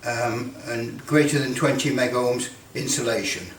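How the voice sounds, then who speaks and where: A British man giving a casual hands-on demonstration, halting as he thinks aloud. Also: medium pitch, fairly even intonation, a bit bright. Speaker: presenter demonstrating electrical testing. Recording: roomy, distant mic with background noise.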